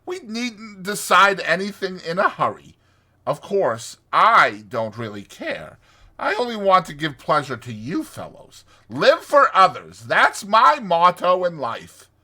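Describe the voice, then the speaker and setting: Storybook toad gentleman: boastful, pleading, very high-pitched, forceful. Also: very animated, measured pace, heavily acted. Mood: coaxing. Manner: exclamatory, wheedling, showy. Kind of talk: dramatized storybook reading.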